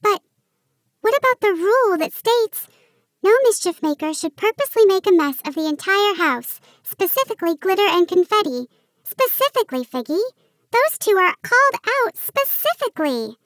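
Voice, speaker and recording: A woman squeaking as an excitable girl elf. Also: normal speed, no room sound. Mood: incredulous. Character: excitable young female Christmas elf.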